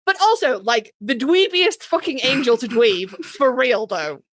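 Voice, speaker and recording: A woman with a Yorkshire accent and a fairly high voice that swings widely in pitch. She talks like an opinionated podcast co-host, blunt and sweary, leaning hard on words for drama. The sound is dry and close. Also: expressive, measured pace, no room sound. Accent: Yorkshire English. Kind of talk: casual opinionated fan chat, ranked countdown.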